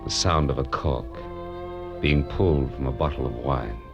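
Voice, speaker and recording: An American actor playing a wry, hard-boiled private detective, narrating deliberately and dramatically in a 1940s radio drama. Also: male voice, very deep voice, gravelly, dull audio. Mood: savoring, wry. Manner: dramatic, deliberate storytelling with pointed pauses. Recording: vintage 1940s radio recording with music.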